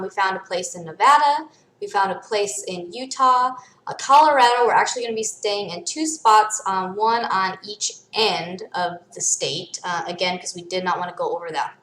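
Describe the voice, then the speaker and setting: Female vlogger, American, middle-aged, medium pitch, casual step-by-step explainer, roomy echoing sound. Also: moderate pace, a bit bright. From United States (born in Michigan, raised in Arizona from age seven or eight). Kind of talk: casual explanatory vlog talk.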